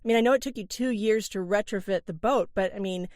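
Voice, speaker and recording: A woman's voice: mid-range voice, quick pace, darkish tone, no echo, clean studio sound. A young woman hosting a podcast, asking questions in an upbeat, curious, chatty way. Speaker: podcast host. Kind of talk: interview.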